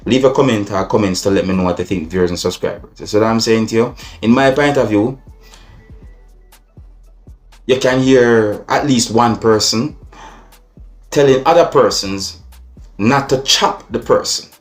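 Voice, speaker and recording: A Jamaican man with a fairly low voice talks to his viewers as a video blogger. He is deliberate and repetitive, mixing formal phrasing with patois, with faint music behind him. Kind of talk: vlog commentary addressed to viewers.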